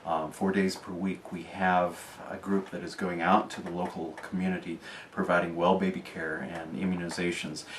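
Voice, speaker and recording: Low-voiced man, clinical and measured. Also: a little hiss or hum. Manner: measured, clinical terms, frequent fillers. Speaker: clinic medical staff. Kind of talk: informal recruiting talk.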